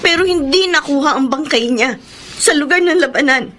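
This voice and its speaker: High-voiced, emotional young wife Almina. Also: female voice, normal speed, pushed and bright. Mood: defiant. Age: young adult. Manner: emotional, pleading, insistent. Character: distraught young wife Almina, refusing to believe she is widowed. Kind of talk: Filipino radio drama acting. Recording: noisy radio drama recording with clicks.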